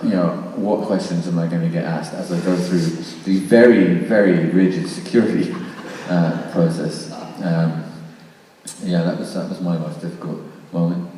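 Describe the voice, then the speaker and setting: Low-voiced man in a very echoey hall. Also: steady tone, moderate pace, mellow. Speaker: panel speaker who made the recording and takes audience questions. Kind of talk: informal panel discussion answer. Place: large reverberant indoor venue, live event. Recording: very echoey hall, far mic, faint noise.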